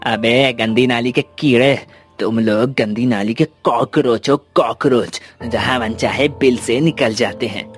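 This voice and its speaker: Cartoon villain: callous, domineering village boss male actor, mid-pitched, scornful, music underneath. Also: measured pace, natural voice. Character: haughty, callous village headman in a moral cartoon. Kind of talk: scripted cartoon dialogue. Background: background music.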